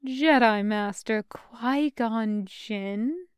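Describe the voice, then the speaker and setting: A woman's voice: on the high side, drawn-out words, smooth, dry recording. A woman voicing a sardonic, irritable female assassin, mocking and dry, in a sci-fi audiobook.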